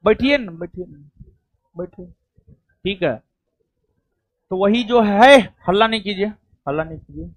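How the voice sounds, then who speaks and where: A man's voice: sits high, unhurried, long pauses. A man talking informally to his students in a coaching classroom, rambling and often repeating himself. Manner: chatty, rambling, repeats "theek hai". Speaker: coaching teacher.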